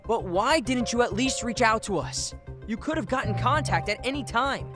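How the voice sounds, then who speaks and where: A man's voice: extremely high pitch, expressive, normal speed. An actor playing an earnest young man, speaking casually and directly in a video game drama. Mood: hurt, pressing. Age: young adult. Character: earnest young man seeking answers about his mother. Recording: music behind the voice.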